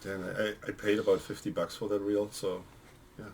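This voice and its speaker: A man's voice: fairly low pitch, level tone, brisk, a little mellow, slight background noise. A man chatting casually as a guest, giving his opinions in an informal conversation. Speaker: guest, friend of the host. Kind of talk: casual chat.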